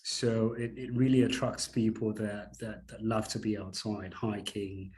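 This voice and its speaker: A man from Uganda speaks in a fairly low voice. He answers in an interview, circling back over his words with plenty of fillers and repeats. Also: flat delivery, unhurried, darkish tone. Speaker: island resident professional.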